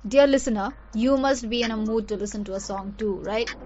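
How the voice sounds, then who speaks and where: A woman's voice: fairly high pitch, a little fast, darkish tone. A woman with an Indian accent co-hosting a radio show and presenting information. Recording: dull audio, faint background noise.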